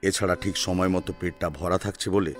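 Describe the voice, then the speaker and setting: Low-pitched man narrating an audio story. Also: steady tone, fairly quick. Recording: music under the voice. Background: sitar instrumental music.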